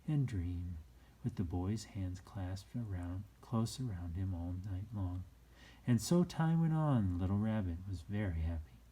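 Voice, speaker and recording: A man reading a children's story aloud as an expressive narrator. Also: on the low side, husky, poor audio.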